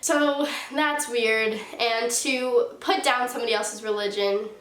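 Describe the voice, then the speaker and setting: A woman's voice: on the high side, fairly even intonation, a little slow, a bit bright. A young woman in her late teens with a stuffy, congested nose, casually telling a storytime vlog and saying "like" often. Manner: chatty, heavy use of "like". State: stuffy nose from a sinus infection. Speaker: YouTuber. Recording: roomy echo.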